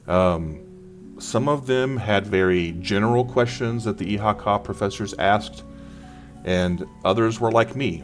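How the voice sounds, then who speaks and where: A man presenting exam advice in an informal tutorial style, talking through slides. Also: on the low side.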